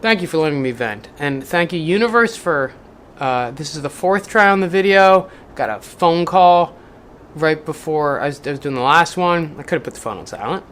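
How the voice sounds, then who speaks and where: A casual vlogger speaks at an everyday middle pitch and swings between rough, profane venting and a deliberate, lifted read-aloud voice. The talk rambles with fillers and restarts, and a faint hiss sits under it. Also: lively intonation, fairly bright tone. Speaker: video maker reading a book aloud.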